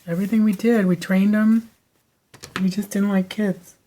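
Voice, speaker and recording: A woman hosting a crafting channel, talking casually at home with a raspy voice, rambling from story to story with lots of fillers and no microphone on. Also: mid-range voice, fairly even intonation, moderate pace, noisy background. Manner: chatty, digressive, lots of fillers. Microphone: no microphone, camera mic.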